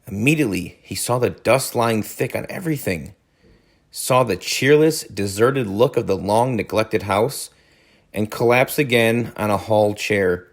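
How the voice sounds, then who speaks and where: A man's voice: lowish voice, a little slow, gravelly. A man reading a story aloud as a teacher, adding informal explanatory asides.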